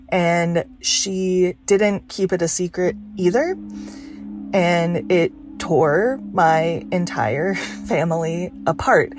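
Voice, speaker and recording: A woman reading a personal listener message in a slow, sincere, reflective way. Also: lowish voice, darkish tone.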